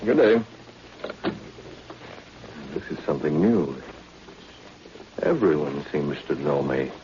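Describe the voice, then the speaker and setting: An actor playing a self-assured, tough but polite undercover agent in 1940s radio drama style. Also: male voice, very deep voice, lively intonation, slow, dark tone, exaggerated voice. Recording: muffled, hissy old-time radio recording.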